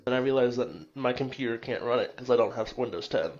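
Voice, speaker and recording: A man's voice: mid-range voice, fast talker, darkish tone, muffled recording. A man chatting casually as a player in a tabletop game. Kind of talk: casual chat.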